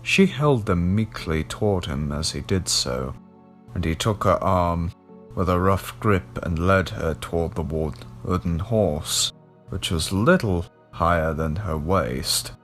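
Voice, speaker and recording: A man reading a gothic horror story as a scripted narration, in a measured storytelling manner. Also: sits low, faint music behind.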